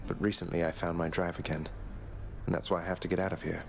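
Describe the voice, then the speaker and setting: A man's voice: low voice, level tone, fast talker, creaky. A man voicing a young underworld prince in a video game, a defiant but good-hearted son with a wry, understated way of talking. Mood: friendly.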